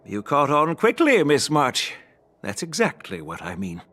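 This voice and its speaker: A man's voice: on the high side, huge pitch swings, a little slow, fairly bright tone, heavily acted. Grandfatherly old general, courteous, voice jumps around a lot, game cutscene. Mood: genial. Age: older. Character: older male general, genial and commanding.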